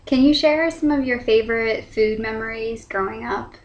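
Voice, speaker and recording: A woman interviewer asking plain questions in a soft-spoken way. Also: on the high side, normal speed. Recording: roomy echo.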